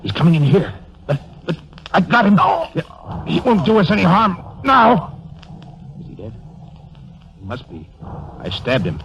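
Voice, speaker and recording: A man's voice: sits high, sing-song, unhurried, creaky, pauses often, a bit bright, exaggerated voice. An actor playing a loyal, remorseful soldier comforting his comrade in a 1930s radio drama. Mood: shaken. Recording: dull, lo-fi 1930s radio broadcast.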